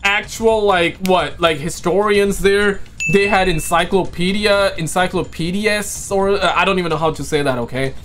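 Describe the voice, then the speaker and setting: A man's voice: high voice, normal speed, hardly any pauses, fairly bright tone, slight background noise. A man streaming from home with a headset, reacting in a casual, slangy, mocking way, repeating himself; English is not his first language.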